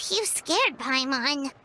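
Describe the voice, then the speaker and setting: A small, excitable floating companion from a video game. Her voice sits very high and leaps around, always bubbly, referring to herself by name. Game music plays clearly behind her. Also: extremely slow, full character voice. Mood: uneasy. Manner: talks about herself in third person, bubbly. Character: tiny floating girl companion, excitable and greedy.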